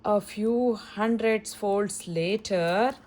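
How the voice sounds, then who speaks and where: A woman narrates a children's storybook aloud. Her pace is slow and deliberate, with a medium pitch. She reads expressively, as if to young listeners. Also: a little mellow. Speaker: storybook reader.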